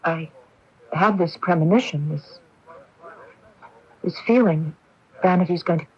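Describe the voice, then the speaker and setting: An actress playing an anxious, frightened woman with a split personality in a radio mystery drama, halting and confiding. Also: lowish voice, slightly rough. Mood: fearful, confiding. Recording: muffled old AM radio broadcast.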